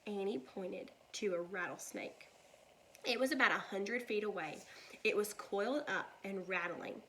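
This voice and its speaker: Bright-voiced woman reading a children's story slowly. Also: mid-range voice, slight background noise, low-quality recording. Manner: deliberate, animated storytelling for children. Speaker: teacher reading a children's book aloud. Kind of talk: expressive children's story read-aloud.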